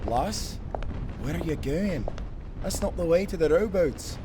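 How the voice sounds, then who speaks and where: A man's voice: on the high side, expressive, unhurried, background noise. An actor playing a loyal young male sailor, urgent and caring, speaking earnestly to a companion in an audio adventure. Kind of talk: cinematic audio roleplay acting.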